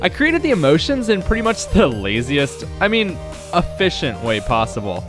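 A man's voice: a little high, huge pitch swings, moderate pace, music in the background. A young man narrating a scripted vlog in a self-deprecating way. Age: young adult. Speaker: host, amateur game maker.

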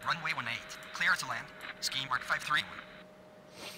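A man's voice: medium pitch, measured pace. A man reading back clipped aviation phraseology as a pilot over the radio. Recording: noisy aircraft radio transmission.

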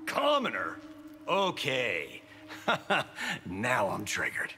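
An actor voicing a cocky, flirtatious movie-star martial artist in a video game, swaggering and slangy. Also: male voice, mid-range voice, wildly swooping pitch, drawn-out words, pushed and bright, slight background noise, heavily acted. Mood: brash exasperation. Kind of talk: video game fight-intro banter.

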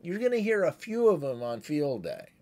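A man's voice: a little high, sing-song, moderate pace, darkish tone. A man in his sixties giving a conversational, instructive amateur radio tutorial as the presenter. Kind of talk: explanatory tutorial with demonstrated radio calls.

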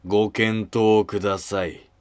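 A man playing a deferential, scheming middle-aged minister of ancient Japan in a historical drama, with measured, formal archaic honorifics. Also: on the low side, little pitch movement, clear, resonant tone, no room sound. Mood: calm persuasion.

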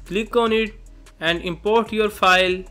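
A man with a notably high voice gives step-by-step software instructions. His tone is bright and forward, his phrasing simple, and music plays faintly underneath. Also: fairly even intonation, measured pace. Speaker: software tutorial presenter. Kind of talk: step-by-step tutorial narration. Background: background music.

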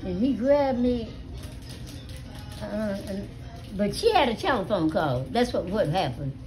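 An elderly American woman telling stories casually to family, with a salty, animated manner, recorded on a phone at home. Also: medium pitch, unhurried, rough recording. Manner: animated, salty, acts out dialogue with swearing. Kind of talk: casual storytelling among family. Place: small living room at home. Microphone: phone held near her.

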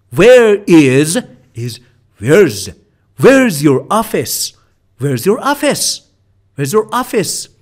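Man, English teacher, slow careful delivery, repeats each phrase, high voice. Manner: repeats phrases slowly, lively up-and-down intonation. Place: voice-over for slide video.

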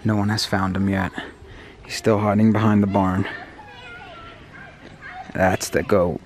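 A man's voice: lowish voice, level tone, a little slow, darkish tone. Casual man chatting over noisy audio. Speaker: vlogger's travel companion. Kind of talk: casual chat on camera. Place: farm, outdoors and in sugarhouse. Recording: noisy on-location camera audio.

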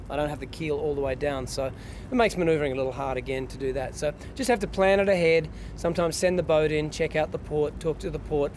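A man's voice: fairly high pitch, darkish tone, a little hiss or hum. A man in his forties with an Australian accent, a yacht captain casually explaining his work. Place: outdoors on a yacht deck and dockside.

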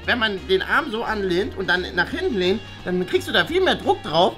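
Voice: mid-range voice, lively intonation, moderate pace, over music, natural voice. A performer playing a nerdy, proud schoolboy who lectures and stammers like a defensive know-it-all in children's role-play comedy. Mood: smug lecturing.

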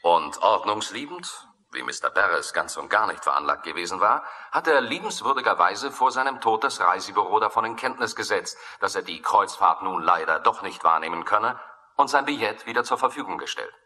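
Sharp, forceful investigator. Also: male voice, lowish voice, expressive, a little fast. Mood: dry, deliberate. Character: methodical, dry male investigator briefing a colleague. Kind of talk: German radio thriller acting. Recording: long hall-like reverb.

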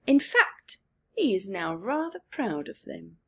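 A woman in her forties reading a picture book aloud to children at home, expressive and deliberate, with dramatic pauses and asides. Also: mid-range voice, slightly rough. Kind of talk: expressive read-aloud with asides to young viewers. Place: small living room at home.

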